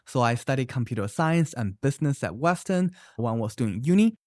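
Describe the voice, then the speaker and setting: Animated founder. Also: medium pitch, brisk, no echo, clean studio sound. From China. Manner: animated, emphatic, often adds "right?"; explains with many examples. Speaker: startup founder. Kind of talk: interview answers.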